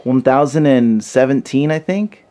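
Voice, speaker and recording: A young man making a prank phone call, speaking haltingly and repeating his words as he feigns confusion. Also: medium pitch, dark tone. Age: young adult. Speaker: prank caller. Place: small bedroom, indoors.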